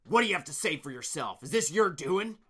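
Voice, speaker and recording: A man voicing an angry, bossy adult team leader who shouts accusations at workers. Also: medium pitch, expressive, normal speed, voice pushed up. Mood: suspicious.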